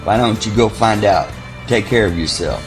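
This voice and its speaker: An actor as a male soldier in an audio drama, firm and loyal. His voice sits fairly low, with music clearly heard underneath. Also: moderate pace, natural voice. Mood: gruffly friendly.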